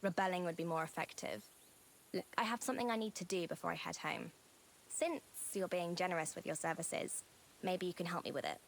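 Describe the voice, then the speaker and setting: A cocky young female rebel from a video game, lowish in pitch, defiant and brash. A faint hiss sits behind her voice. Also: fairly quick, vocal fry. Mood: confident, businesslike. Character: rebellious, cocky young woman, drone racer and tinkerer. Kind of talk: video game cutscene acting.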